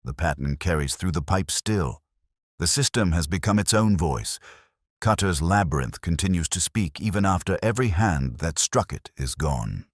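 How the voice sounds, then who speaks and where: A grave, commanding Victorian detective from an audio mystery , acted by a male reader. The voice is extremely low and closely recorded without room sound, with deliberate, terse delivery and calm precision. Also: no echo, studio quality. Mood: intent.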